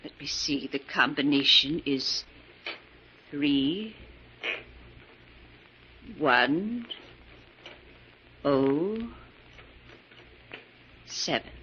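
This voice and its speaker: An actress playing a wealthy, stern but caring older aunt in an old-time radio drama, speaking in a genteel, formal way. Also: female voice, sits low, extremely slow, long pauses, sharp tone, full character voice. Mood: uneasy. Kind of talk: 1940s radio drama acting. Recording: muffled, noisy old radio recording.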